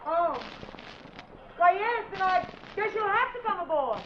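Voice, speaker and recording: An actress playing a brassy, hostile young wife, curt and wisecracking, in 1940s radio style. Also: female voice, extremely high pitch, animated, measured pace. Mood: wary. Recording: muffled, hissy 1940s radio recording.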